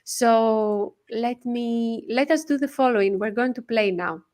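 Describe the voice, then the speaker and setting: Woman podcast host, higher-pitched, brisk, pauses with fillers. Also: a little mellow. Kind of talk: hosting a live podcast interview.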